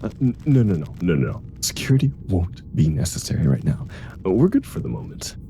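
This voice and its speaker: Man acting a deep, weary, exasperated superhero. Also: wildly swooping pitch, a little fast, gravelly, mellow, a little hiss or hum, full character voice. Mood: polite, awkward. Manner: dry, weary sarcasm. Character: exasperated, grumpy male superhero stuck with his nemesis. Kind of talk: comedic audio roleplay acting.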